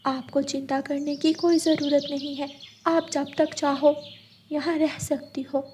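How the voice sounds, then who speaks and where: A woman's voice: sits high, level tone, a little slow, mellow, slight background noise, voice pushed up. A woman playing a kind female parrot in a children's story, sympathetic and comforting. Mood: reassuring.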